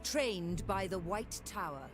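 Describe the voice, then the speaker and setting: Grave high elf sorceress, game unit voice, slow and declamatory, lilting rise and fall, game music behind. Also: female voice, lively intonation. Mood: solemn. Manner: solemn, declamatory. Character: lofty female High Elf archmage, proud and grave.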